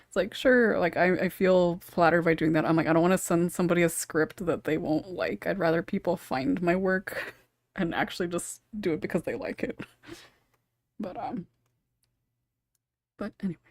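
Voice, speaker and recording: Lower-pitched giggly woman hosting casually. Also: moderate pace, dry recording. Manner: giggly, hesitant, rambling asides. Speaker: podcast host and script writer. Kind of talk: casual podcast chat.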